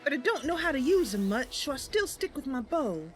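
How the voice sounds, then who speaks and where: A woman's voice: sits high, big pitch swings, fairly quick, soft background music. A woman voicing an earnest, chatty young female wood elf knight in a fantasy game roleplay, with a cheerful, artless manner. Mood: wistful, upbeat.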